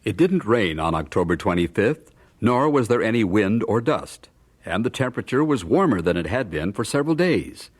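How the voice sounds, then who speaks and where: A man's voice: lowish voice, sing-song, normal speed. A man narrating a scripted audio drama as a storyteller.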